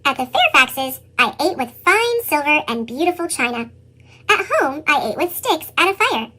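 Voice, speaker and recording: A woman reading a children's picture book as an earnest young boy, with a squeaky pitched-up chipmunk effect. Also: moderate pace, exaggerated voice. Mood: earnest, proud. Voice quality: squeaky, sped-up chipmunk sound. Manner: animated children's read-aloud delivery. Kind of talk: scripted picture-book narration.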